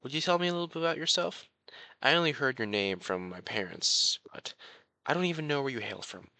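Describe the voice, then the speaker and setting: A man playing a courtly young crown prince, besotted and quietly possessive, speaking formally and floridly to the listener in a romantic audio roleplay. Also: medium pitch, expressive, a little fast, gravelly, dry recording. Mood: smitten, gracious. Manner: formal, flowery, genteel speech.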